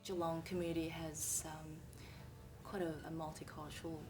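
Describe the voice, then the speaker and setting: A woman's voice: deep voice, flat delivery, normal speed. A woman who performed with a community group, speaking upbeat with fillers. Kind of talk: casual interview. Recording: distant from mic, music behind.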